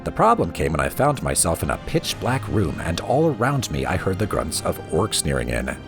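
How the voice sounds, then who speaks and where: A man reading a fantasy book aloud as expressive storybook narration over music. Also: sits low, normal speed, creaky. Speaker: let's play host reading in-game books aloud.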